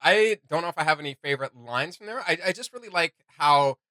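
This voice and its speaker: A man's voice: a little high, expressive, quick pace, pushed and bright, dry recording. A man hosting a reaction video, chatting casually and enthusiastically in rambling fan commentary.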